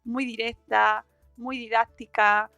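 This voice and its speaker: High, creaky-voiced woman interviewer. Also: little pitch movement, takes it slowly, bright, forceful tone, no echo, dull audio, soft background music. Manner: effusive, approving. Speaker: podcast interviewer. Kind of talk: conversational interview.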